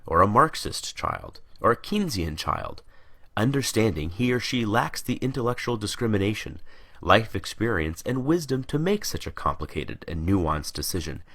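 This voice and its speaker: Male narrator, low register, highly varied intonation, deliberate and emphatic rhetorical delivery. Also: big pitch swings, fairly bright tone. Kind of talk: scripted rhetorical monologue.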